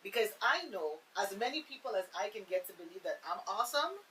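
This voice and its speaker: A woman's voice: medium pitch, animated, quick pace, pushed and bright, far from the mic, a little hiss or hum, rough recording. A woman radio panelist talking conversationally, explaining emphatically and checking for agreement as she goes. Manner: explanatory, emphatic, seeks agreement with tag questions.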